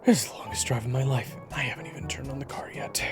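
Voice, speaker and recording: A man playing a gruff, sarcastic and fastidious monster hunter in an audio roleplay, dry, scolding and blunt. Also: mid-range voice, fairly even intonation, soft background music, pitched above the performer's own voice, natural voice.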